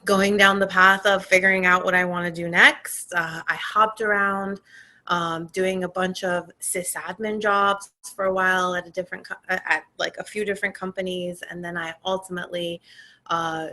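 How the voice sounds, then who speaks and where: A woman in her forties, raised between Iran and California, telling her career story in a relaxed interview with frequent pauses and fillers. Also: fairly low pitch. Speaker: podcast guest, tech program manager. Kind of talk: conversational interview.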